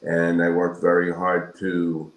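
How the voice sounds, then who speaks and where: A man in his sixties from Brooklyn, answering interview questions in a measured, halting, reflective way with pauses between phrases. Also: low-pitched, completely flat delivery. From Brooklyn, New York, United States. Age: 60s. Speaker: guest, periodontal surgeon. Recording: roomy echoing sound.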